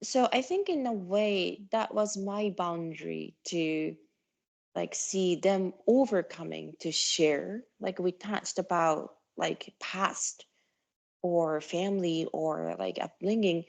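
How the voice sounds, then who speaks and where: Soft-spoken Japanese woman, lowish voice. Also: vocal fry. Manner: halting, many fillers. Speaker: podcast guest. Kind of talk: casual conversation.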